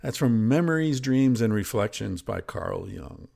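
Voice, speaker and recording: A man reading from a book aloud in a deliberate, measured way, with informal commentary of his own. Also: lowish voice, animated, creaky, warm tone. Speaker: podcast host and reader. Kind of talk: reading a book excerpt aloud with casual asides.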